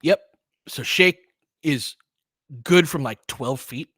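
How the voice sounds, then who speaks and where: A man's voice: fairly high pitch, slow pace, a bit bright, breathy edge, no room sound. Man calling basketball casually, dry close sound. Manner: informal, clipped phrases, mild swearing. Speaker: podcast host doing live play-by-play commentary. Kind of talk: casual live basketball commentary with chat replies.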